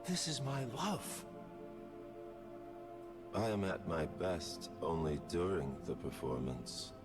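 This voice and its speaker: An actor voicing a theatrical male assassin who treats killing as art, slow and grandiose, often whispering, in game voice lines. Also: wildly swooping pitch, heavily acted. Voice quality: deep gravelly voice. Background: music.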